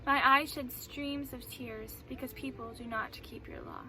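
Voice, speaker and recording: Bright, high-voiced woman reading scripture. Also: fairly even intonation, moderate pace. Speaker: Bible reader. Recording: slightly distant mic with faint noise.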